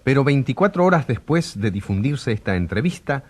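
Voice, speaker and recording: A man playing a grave radio reporter in a drama, narrating with a husky voice and declamatory, rhetorical delivery. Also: mid-range voice, sing-song, brisk, a little hiss or hum, lightly acted. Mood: grave, dramatic. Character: grave male radio reporter covering a controversial trial.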